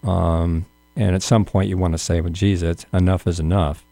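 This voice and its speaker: Hesitant low-voiced male host. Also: level tone, normal speed, creaky, mellow, no room sound. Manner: rambling, hesitant, many fillers. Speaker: radio interview host. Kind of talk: conversational interview.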